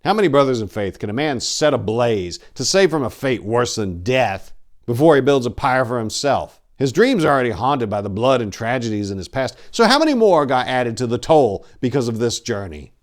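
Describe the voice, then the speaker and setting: A man's voice: medium pitch, very animated. A man narrating in a theatrical, brooding style, like a dramatic story reading. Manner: theatrical, brooding, deliberate delivery. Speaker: narrator and channel host.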